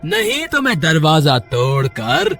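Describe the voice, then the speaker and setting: A man's voice: fairly high pitch, wildly swooping pitch, takes it slowly, creaky, fairly bright tone, faint music behind, exaggerated voice. A man voicing a hungry, menacing severed-head ghost in a horror cartoon, threatening and commanding. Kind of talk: Hindi horror cartoon character voice.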